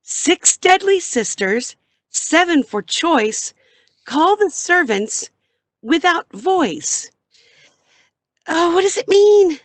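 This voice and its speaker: A woman reading a frightened young companion in a fan radio play: high, lively voice, unhurried and pleading, dry close sound. She sounds anxious and worried. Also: no echo, full character voice. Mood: puzzled.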